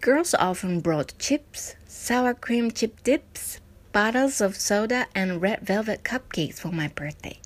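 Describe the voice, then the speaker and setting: A woman's voice: medium pitch, lively intonation, slow. Woman. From Japan. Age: 40s. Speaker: presenter of a personal parenting essay. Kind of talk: scripted personal narration.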